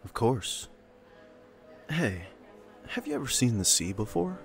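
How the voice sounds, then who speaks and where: A man playing a calm, courteous young castle guard in an audio drama, casual and a little hesitant. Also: mid-range voice, unhurried, vocal fry, heavily acted. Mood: gentle, hesitant.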